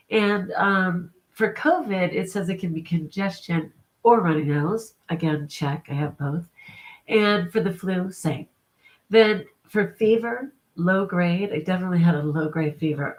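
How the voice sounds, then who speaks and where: A woman's voice: on the low side, poor audio. A congested, middle-aged woman with a cold, chatting casually and upbeat on a live stream, laughing between remarks. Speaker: vlogger.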